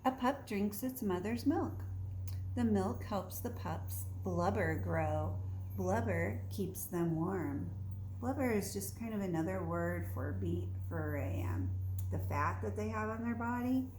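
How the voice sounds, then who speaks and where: A woman with a soft-edged, mellow voice teaches young children through a read-aloud. She explains things in simple words and often checks in with questions. The room adds noticeable echo, with faint noise behind her. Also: mid-range voice, animated, a little slow.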